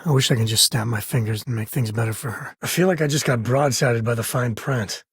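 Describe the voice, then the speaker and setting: An actor playing a rugged, easygoing middle-aged space explorer and father in a video game, with a husky, folksy, wry, conversational delivery. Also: medium pitch, fairly quick, dry recording. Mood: sincere, subdued, then indignant. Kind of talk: video game companion dialogue.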